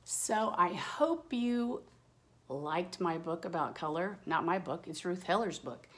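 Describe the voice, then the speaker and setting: A woman's voice: fairly low pitch, lively intonation, unhurried, fairly bright tone. A woman teacher reading a rhyming children's book aloud, with casual explaining asides spoken directly to young listeners.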